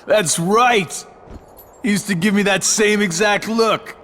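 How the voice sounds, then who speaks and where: A man's voice: high-pitched, slow pace, fairly bright tone. An actor voicing a laid-back, mocking male villain in a fantasy video game cutscene. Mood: taunting. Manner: mocking, casual, drawling. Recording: long echoing reverb, music underneath.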